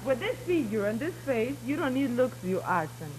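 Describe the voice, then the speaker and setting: A woman with a foreign accent and a high, bouncing voice, cracking jokes in broken English with laughter. The old broadcast sound is thick with loud noise and hum. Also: sing-song, moderate pace. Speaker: show panelist.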